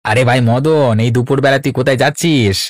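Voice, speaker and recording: A man's voice: medium pitch, a little fast, dry recording, studio quality, close to an everyday voice. A man voicing a boastful, wily village swindler with a cocky, coaxing manner in a cartoon. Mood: sly coaxing. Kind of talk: Bengali cartoon voice acting.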